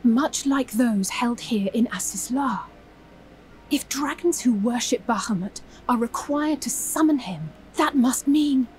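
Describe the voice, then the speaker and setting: A young elf girl in a fantasy game cutscene. She is fiery and blunt, with formal wording and a fairly high voice over faint background noise. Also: expressive, a little slow, a little mellow, poor audio. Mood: concerned. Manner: blunt, indignant, formal phrasing. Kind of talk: scripted video game cutscene acting.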